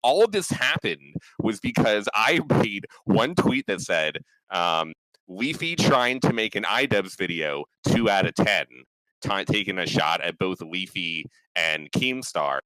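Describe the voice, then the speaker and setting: Low, bright, animated male streamer. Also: measured pace, no room sound, low-quality recording. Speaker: live streamer. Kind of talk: casual livestream chat.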